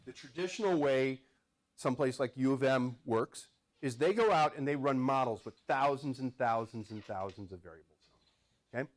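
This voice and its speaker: A man's voice: medium pitch, normal speed. Midwest guy lecturing on the economy to a small room, joking around, chatty. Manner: conversational and wry, asks the room questions. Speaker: economics professor, featured speaker. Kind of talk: live lecture to an audience. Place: small room with live audience.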